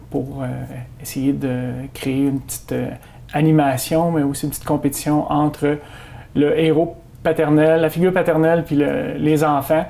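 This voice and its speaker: A Québécois man talks casually as an interview guest. His voice has a gravelly fry and a mellow, dark tone. He pauses often to fill gaps as he explains. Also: on the high side. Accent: Québécois French. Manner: many hesitations, animated when announcing the event. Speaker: guest from a community organization.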